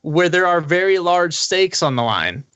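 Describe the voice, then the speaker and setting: A man's voice: high-pitched, big pitch swings, normal speed, a bit bright, smooth, dry recording. A man on a podcast panel, talking casually about gaming in a rambling, explanatory way.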